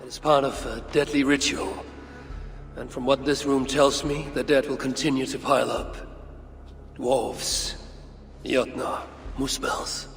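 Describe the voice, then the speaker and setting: An actor playing a shrewd, gruff Norse god in a game cutscene, speaking in a deliberate, sardonic, investigative manner. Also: male voice, mid-range voice, flat delivery. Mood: probing, wry. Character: shrewd Norse god searching for his son, gruff and resolute. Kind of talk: dramatic game cutscene acting.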